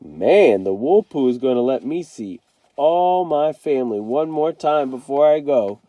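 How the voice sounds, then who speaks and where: A man acts out a scared young storybook boy in danger. The delivery is dramatic and pleading, with a soft, dark tone and a close, dry sound. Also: medium pitch, expressive, unhurried, no room sound, pitched above the performer's own voice, natural voice. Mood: resigned dread. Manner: dramatic, pleading, talking to himself. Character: frightened, imaginative young boy in danger of drowning.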